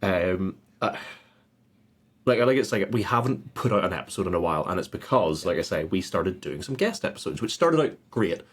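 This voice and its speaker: A man's voice: deep voice, lively intonation, fairly quick, husky. A man giving casual, informal answers as a podcast guest, with a self-deprecating way of talking. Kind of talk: casual conversational interview answers.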